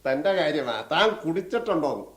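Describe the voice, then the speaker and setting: A man's voice: on the high side, very animated, a little fast, a bit bright. An actor playing a stern elderly father and family patriarch in a radio drama, commanding, with a southern Kerala Malayalam accent. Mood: commanding anger. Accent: Kerala Malayalam, southern Travancore. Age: older. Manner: commanding, peremptory. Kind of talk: mid-century Indian radio drama acting. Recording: roomy, echoing radio studio sound.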